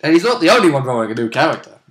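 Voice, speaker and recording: Male tabletop player, jokey interjections, casual banter, bright tone a little above average pitch. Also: big pitch swings, racing. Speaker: player in a tabletop roleplay series.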